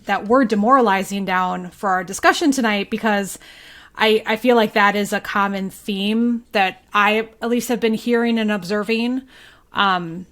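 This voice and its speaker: Woman, mid-pitched voice, casual podcast chat, conversational co-host. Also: fairly bright tone. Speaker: recurring podcast co-host and local journalist.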